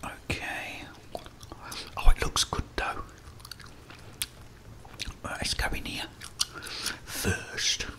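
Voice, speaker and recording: A man's voice: drawn-out words, long pauses, sharp tone. A middle-aged man with an English accent whispering ASMR commentary as he plays a game, muttering reactions and talking to the characters. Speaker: gaming ASMR host.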